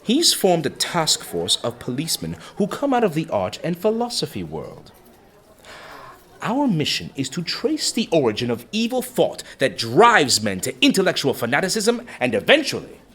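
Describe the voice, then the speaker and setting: Earnest police detective, swooping pitch. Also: male voice, huge pitch swings, normal speed, a bit bright. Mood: grave, zealous. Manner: formal, composed, emphatic. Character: earnest, zealous cultured plainclothes police detective. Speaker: actor in a radio play. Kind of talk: dramatic radio dialogue.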